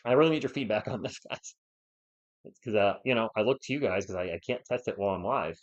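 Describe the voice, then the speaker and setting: A man's voice: fairly low pitch, fast, muffled recording. A man hosting a casual live stream from a home setup, chatting with viewers over a laptop mic. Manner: chatty, talks to chat, loud bursts when testing. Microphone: laptop or desk mic.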